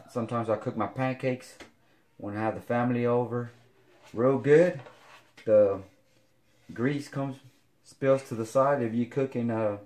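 A man, showing kitchen gear in a casual home video, talking haltingly with many fillers. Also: medium pitch, a little mellow. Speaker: fitness vlogger. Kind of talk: casual product demo. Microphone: camera on counter.

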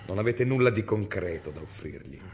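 An actor in an Italian radio drama playing a cold, cunning medieval inquisitor with a measured, formal, severe delivery. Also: male voice, sits low, animated. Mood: cold, calculating. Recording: noisy radio drama recording.

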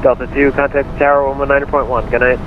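Fast, flat-toned male air traffic controller on radio. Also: medium pitch. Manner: clipped, procedural phrasing. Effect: radio transmission. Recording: muffled narrowband radio, cockpit drone noise.

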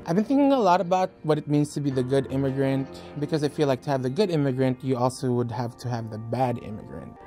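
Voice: mid-range voice, animated, fast, few pauses, dark tone, faint music behind. A person giving thoughtful, casual interview answers in a studio.

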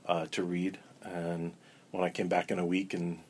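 A man guest in an interview, talking in a relaxed, rambling way with many ums and restarts. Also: deep voice, normal speed. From Florida. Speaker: interview guest, coven elder. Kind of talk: casual storytelling interview.

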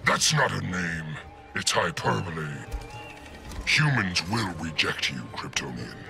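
A man's voice: deep voice, very animated, unhurried, creaky, music in the background, full character voice. A man playing an arrogant, savage giant gorilla warlord in a video game, haughty and disdainful. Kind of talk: video game fight banter.

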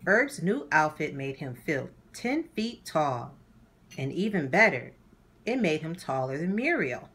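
Slow, expressive woman reading to children. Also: deep voice, breathy edge. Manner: deliberate, animated. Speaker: children's book read-aloud host. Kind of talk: expressive slow storybook narration for young children.

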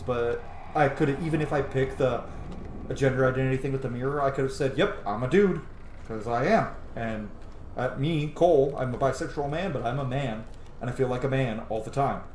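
A man's voice: mid-range voice, a little hiss or hum. A man in his thirties giving casual gameplay commentary, speaking emphatically and swearing freely. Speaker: game streamer. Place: small indoor room at home. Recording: roomy sound with music behind. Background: game music.

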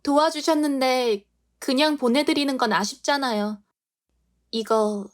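여자가 연기하는 수줍은 꽃집 딸, 높은 목소리. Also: female voice, high voice, measured pace. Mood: shy. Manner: soft-spoken and shy. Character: shy young woman working at her mother's flower shop.

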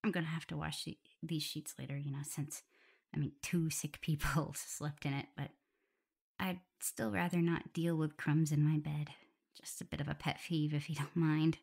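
A woman playing a caring, flustered young omega werewolf in an intimate ASMR roleplay, soft and often breathy and whispery, fussing as she talks. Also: low voice, fairly even intonation, normal speed, full character voice. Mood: tender, caring. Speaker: audio roleplay performer.

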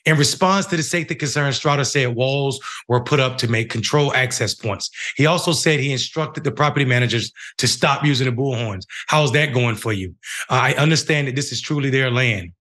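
A middle-aged man with an American accent hosting a news show, reading and commenting in a halting way with repeated phrases. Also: medium pitch, fairly bright tone. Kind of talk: news commentary, reading a report and giving opinion. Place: home room, indoors.

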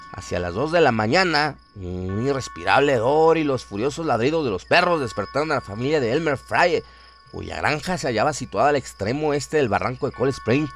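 A man's voice: mid-range voice, a bit bright. A man narrating a horror story in a dramatic, scripted storytelling style. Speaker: narrator of horror audio stories.

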